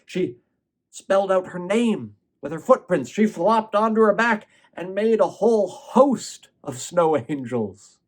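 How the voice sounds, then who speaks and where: A man telling a fairy tale as a storyteller, expressively, with dramatic pauses and emphasis. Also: mid-range voice. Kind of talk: performed fairy-tale narration.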